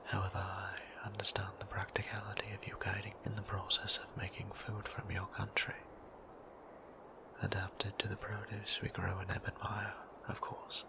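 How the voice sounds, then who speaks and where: A low, whispering vampire priest played by a man, courteous and fond, with old-fashioned formal manners. He speaks slowly and intimately, his breath edged with gravelly fry, as in a close audio roleplay. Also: monotone, lightly acted, rough recording. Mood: tender, courteous. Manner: formal, old-fashioned, polite, measured phrasing.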